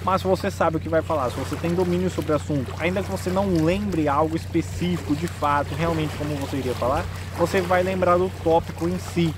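A man's voice: fairly high pitch, fairly quick, few pauses. A man in his twenties with a Brazilian accent, explaining things informally to camera as a vlogger. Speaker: vlogger giving nutrition advice. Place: outdoors in a sunny park-like area. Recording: noisy outdoor recording, clear background noise.

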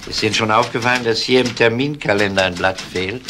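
A courteous police detective with a fairly low voice, methodical and probing. It is crime radio drama, with faint noise on an old recording. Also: male voice, fairly quick, sharp tone. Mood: calm, businesslike. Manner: methodical, polite questioning. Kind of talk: German crime radio play acting.

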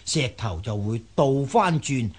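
A man's voice: mid-range voice, lively intonation, measured pace, slightly rough. An actor playing a confident, amused, folksy river man in a radio drama, drawing out his explanations with many interjections. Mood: confident explaining. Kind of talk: Cantonese radio drama acting.

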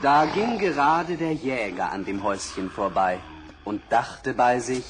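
A man's voice: mid-range voice, expressive, measured pace, over music. A man narrating a fairy tale for children, addressing the listeners directly, in a 1960s radio play. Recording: crackly 1960s vinyl record.

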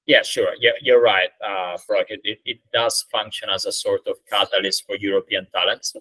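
A man in his thirties from Italy with an Italian accent, answering interview questions over a video call in a measured way, with many ums and uhs. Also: lowish voice, fairly even intonation, sharp tone, rough recording. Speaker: startup founder, guest. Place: indoors, plain room. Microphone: video call webcam.

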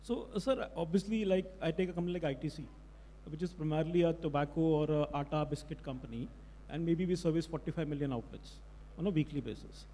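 A man speaks on a conference panel as a company executive, measured and explanatory. His voice is high but mellow. The big hall adds a long reverb. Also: slight background noise. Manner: measured, explanatory, mixes Hindi and English. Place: large conference hall stage. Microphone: handheld mic.

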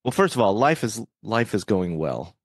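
A man's voice: on the low side, very animated, normal speed, no room sound, studio quality. A man co-hosting a horror movie podcast in casual chat, joking and laughing often. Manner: jokey, laughs often, upbeat plugs. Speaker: podcast co-host.

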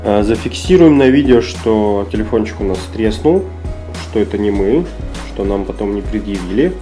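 A slightly hoarse man with a cold, chatting casually and thinking aloud as he unboxes a phone at an office desk. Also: lowish voice, animated, moderate pace, warm tone, soft background music. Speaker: tech reviewer.

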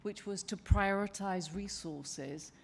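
An older woman giving a conference presentation at a lectern in a large wood-panelled hall, speaking in a measured, conversational way with frequent ums and restarts. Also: mid-range voice, vocal fry, slight background noise. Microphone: lectern microphone.

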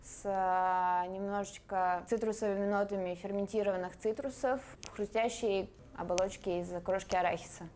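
A woman's voice: medium pitch, fairly even intonation, moderate pace, hardly any pauses, a little mellow, slight background noise. A woman from Sochi, a bartender contestant, confidently describing her dessert to camera. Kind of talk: interview to camera.

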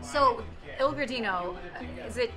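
A woman's voice: on the high side, animated, unhurried. A woman presenting a food show in a restaurant, chatting and asking questions with enthusiasm. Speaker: food show host interviewing. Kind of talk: lively on-camera chat and interview. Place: restaurant dining room and kitchen. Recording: loud music behind the voice.

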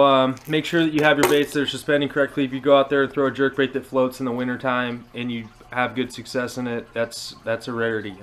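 A man in his twenties with an American accent, giving a casual how-to talk to a camera in a living room. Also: medium pitch. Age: 20s. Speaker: fishing vlogger. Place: indoors, small living room. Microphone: action camera on table at arm's length.

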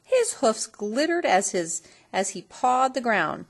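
A woman reading a children's story aloud in an expressive storytelling style. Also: on the high side, slow. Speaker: storybook reader.

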